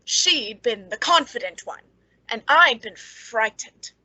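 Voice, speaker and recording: A woman's voice: a little high, animated, slow pace, bright, forceful tone, husky, no echo, full character voice, poor audio. A woman playing a cold, gleeful murderess who narrates and speaks in a theatrical radio suspense drama. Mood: gloating confession.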